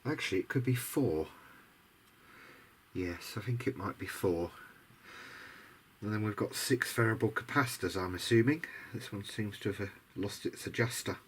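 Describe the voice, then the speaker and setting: A man's voice: lowish voice, normal speed, long pauses, a bit bright. A man giving casual, unscripted commentary as he works at a bench, musing and tentative as he thinks aloud like a hobbyist making a video diary. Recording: noticeable background noise.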